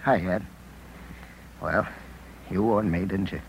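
Shaken, injured male reporter, lowish and faltering, vintage radio play with slight hiss. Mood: weak, halting. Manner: halting, weary, broken phrases. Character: battered, shaken young male newspaper reporter. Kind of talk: 1950s radio drama acting. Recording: noisy vintage 1950s radio recording.

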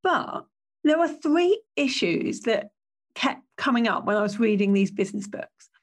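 A woman hosting her own business podcast, with a slightly croaky voice and a chatty, self-correcting way of talking. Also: on the high side, animated, a little slow. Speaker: podcast host.

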